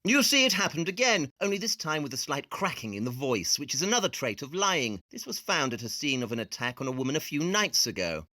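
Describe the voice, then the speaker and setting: An actor playing a haughty, probing detective in an audio play, with precise, pedantic delivery. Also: male voice, on the high side, very animated, brisk, hardly any pauses, pushed and bright, no echo. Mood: cold, accusing. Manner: precise, clipped, pedantic interrogation.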